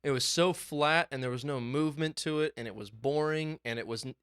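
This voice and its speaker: Young male podcast co-host, chatty and excitable, swears freely, clean dry mic. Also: on the high side, fairly quick, clear, resonant tone, no room sound. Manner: excited, swearing, rambling storytelling. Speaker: podcast co-host and music producer. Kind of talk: casual chat. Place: small home studio.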